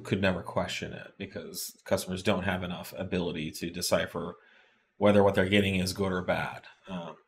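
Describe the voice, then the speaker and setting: Middle-aged guy with a deep voice, talks shop casually, lots of ums, studio mic. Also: slight background noise. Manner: explains in plain terms, many fillers and restarts, gestures a lot. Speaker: host. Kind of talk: casual technical explanation. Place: indoor studio with shop products on desk.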